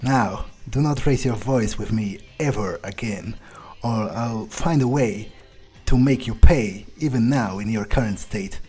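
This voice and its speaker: A man's voice: fairly low pitch, measured pace, a little mellow, husky, faint music behind. A man playing an arrogant, power-drunk vampire in an improvised in-character game monologue, sneering, profane and domineering. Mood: menacing, domineering.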